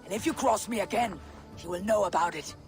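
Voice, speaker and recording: An actress playing a viking clan leader who speaks in a measured, authoritative way. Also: female voice, medium pitch, lightly acted. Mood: commanding. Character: female viking clan leader, calm and commanding. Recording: game cutscene over soft music.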